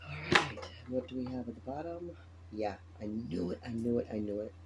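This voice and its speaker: A man with a raspy morning voice, tired, chatting casually as he lays out a tarot reading and repeats his phrases. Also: mid-range voice, expressive, fairly quick, very noisy. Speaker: tarot reader.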